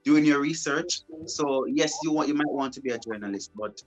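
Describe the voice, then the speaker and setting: A young man talks through his answers in a relaxed way. He circles back on his words and restarts his phrases. Faint music plays under his voice. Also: medium pitch, moderate pace. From Jamaica. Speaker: broadcast journalist guest. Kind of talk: casual interview answers.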